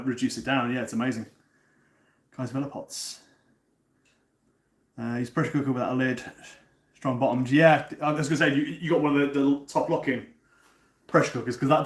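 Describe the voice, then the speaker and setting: Casual cooking-stream host, a man in his thirties from North Wales, chatty with lots of restarts, mid-pitched, echoey kitchen sound. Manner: chatty, frequent word repeats and restarts, swears casually. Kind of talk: casual live-stream chat, answering viewers. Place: home kitchen.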